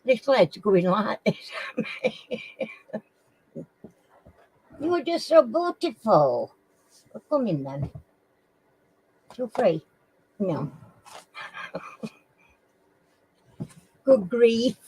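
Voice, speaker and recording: Slow, homely Sheffield woman chatting. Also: fairly high pitch, sing-song, a little mellow, poor audio. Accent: Sheffield English. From Sheffield, England. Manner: chatty, slow and homely, often clearing her throat. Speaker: live stream host. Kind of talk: casual live chat with viewers.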